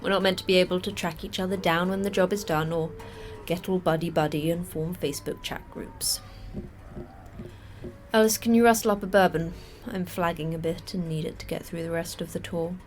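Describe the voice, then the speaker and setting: A woman's voice: lowish voice, sing-song, fairly quick, soft background music. A woman playing a jaded, terse station manager in an audio drama, dry and matter-of-fact. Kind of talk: audio fiction podcast acting.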